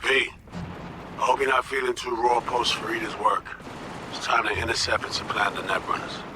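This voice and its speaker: An actor playing a composed, watchful veteran spy handler in a video game, giving measured, businesslike instructions. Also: male voice, mid-range voice, fairly even intonation, noisy background, natural voice. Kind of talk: cinematic video game acting.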